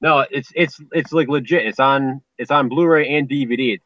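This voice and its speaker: A man's voice: mid-range voice, moderate pace, a bit bright, no room sound, muffled recording. A man with a Boston accent in a casual group chat, easygoing and giving short replies. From Massachusetts.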